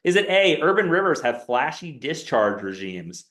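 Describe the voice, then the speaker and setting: A man's voice: a little high, big pitch swings, moderate pace, a bit bright. A male instructor running a quiz review game with a class, reading multiple-choice options aloud with a rising, questioning tone. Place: lecture classroom. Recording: echoey classroom.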